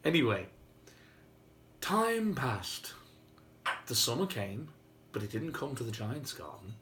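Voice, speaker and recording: A man telling a story informally and whimsically, with dramatic pauses and emphasis. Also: medium pitch, big pitch swings, a bit bright. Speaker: storyteller and video host. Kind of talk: informal improvised oral storytelling. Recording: roomy, faint background noise.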